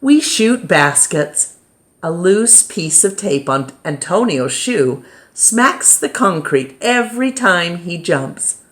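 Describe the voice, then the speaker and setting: A woman reading a children's picture book aloud as storytime reader, with dramatic pauses and emphasis. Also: on the low side, sing-song.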